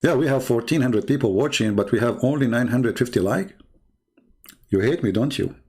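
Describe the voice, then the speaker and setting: Gravelly Arabic-accented man challenging callers. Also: medium pitch, normal speed, a little mellow. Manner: challenging, taunting, inviting callers. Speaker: online debate host. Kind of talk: live-streamed religious debate talk.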